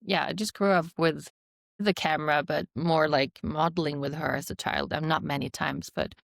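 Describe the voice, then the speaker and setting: A woman's voice: sits low, fairly even intonation, normal speed, creaky, no echo, clean studio sound. A woman in her thirties with a Swedish accent, a podcast guest reflecting and rambling with fillers. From Sweden. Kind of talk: casual interview chat.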